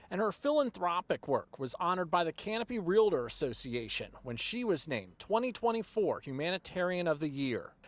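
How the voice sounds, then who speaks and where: A man's voice: high voice, no echo. An American man narrating a scripted TV news report as a reporter.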